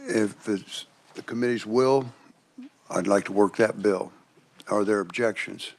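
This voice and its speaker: A man chairs a committee meeting, moving through motions and votes with courtesy. His voice sits a little low. He speaks in a plain, procedural way. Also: unhurried, vocal fry. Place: legislative committee room.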